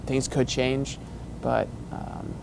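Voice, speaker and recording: A man with a gravelly, monotone voice describes the campaign team, halting and filling pauses with hesitant ums. A low rumble hums faintly behind him. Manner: halting, hesitant, many fillers. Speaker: campaign staffer. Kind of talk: documentary interview.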